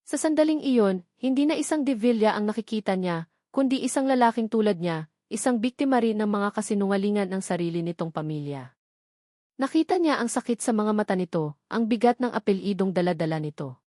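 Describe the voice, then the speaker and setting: A woman reading a scripted dramatic love story as narrator, in a measured storytelling manner. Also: medium pitch, expressive, dark tone, dry recording, studio quality.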